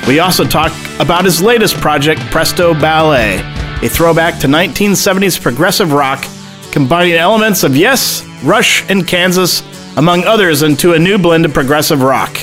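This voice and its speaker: Male podcast host, friendly and loose, slightly raised pitch, guitar music under. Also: normal speed, a bit bright. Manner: friendly, loose, light laughs. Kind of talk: scripted podcast intro and casual interview.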